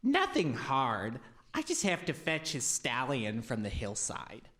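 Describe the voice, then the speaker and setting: A woman's voice: rumbling low, huge pitch swings, unhurried, a bit bright, heavily acted. A woman playing a plucky, slightly cocky young servant lad in a radio play, easygoing and innocent-sounding. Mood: breezy confidence.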